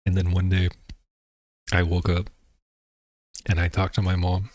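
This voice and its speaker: A man's voice: low voice, completely flat delivery, a little slow, a little mellow, dry recording. A young man streaming a game, telling childhood stories in a rambling, laid-back way while he plays. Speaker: gameplay streamer. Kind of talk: casual chat while playing.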